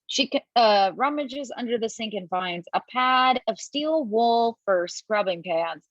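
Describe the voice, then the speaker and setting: A woman's voice: fairly high pitch, a little slow, no room sound. Woman reading stage directions on a call. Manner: lively, expressive reading with small slips. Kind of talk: table-read narration. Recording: dull lo-fi, dry.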